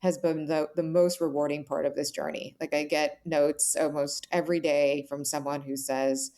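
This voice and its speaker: A woman's voice: deep voice, little pitch movement, normal speed, dark tone. A woman speaking as an author guest in an interview, conversational, earnest and reflective, often checking in with "right?".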